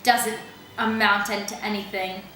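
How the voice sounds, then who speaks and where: A woman's voice: mid-range voice, flat delivery, takes it slowly, pushed and bright, slight background noise. A woman voicing a blustering, indignant father from a novel, emphatic and declamatory. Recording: echoey room, music behind.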